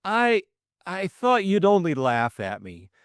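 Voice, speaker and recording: A man's voice: high voice, wildly swooping pitch, slow pace, fairly bright tone, smooth, dry recording, clean studio sound, pitched above the performer's own voice, exaggerated voice. A man voicing a flustered, lovestruck young Englishman, stammering and eager. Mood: earnest pleading.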